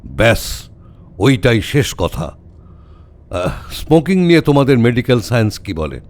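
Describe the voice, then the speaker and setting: A man's voice: medium pitch, big pitch swings, measured pace, darkish tone. An actor playing a brusque, sardonic older male detective who gives orders in a wry, clipped way in an audio drama. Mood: wry, defiant. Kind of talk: audio story acting.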